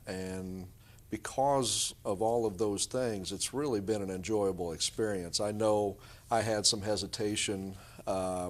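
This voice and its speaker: Low-voiced middle-aged man, coach being interviewed. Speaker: basketball coach, interview guest. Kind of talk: conversational interview answers.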